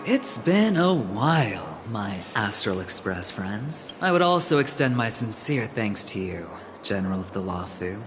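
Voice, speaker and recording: A suave, unhurried executive. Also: male voice, fairly low pitch, big pitch swings. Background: game music.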